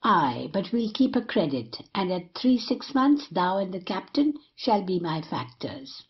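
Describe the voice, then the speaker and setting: A woman's voice: medium pitch, big pitch swings, unhurried, dull audio. A woman playing a cynical, scheming young male rake in a period stage comedy, boastful and rhetorical.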